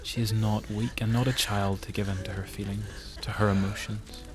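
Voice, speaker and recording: A man's voice: lowish voice, level tone, normal speed. A man with a Northern Irish accent reading scripted narration for an audio drama.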